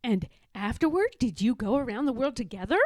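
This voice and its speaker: A woman plays a young storybook bear cub, a dreamy little boy. His voice is high and lilting, earnest and eager, with big swoops in pitch. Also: lively intonation, a little fast, dark tone, no echo, studio quality, lightly acted. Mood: curious. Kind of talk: children's storybook character voice.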